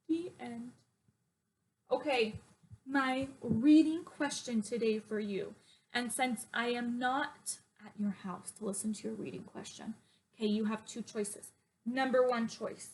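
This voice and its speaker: A woman teacher reading a picture book to young children in an expressive, sing-song, emphatic way and putting questions to them. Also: high-pitched.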